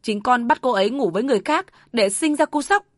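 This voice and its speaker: A woman's voice: on the high side, expressive, quick pace, no room sound, studio quality, voice pushed up, close to an everyday voice. A woman voicing a young husband who speaks earnestly and firmly in defense of his wife. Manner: earnest, pleading then firm. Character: young husband, calm but firm, protective.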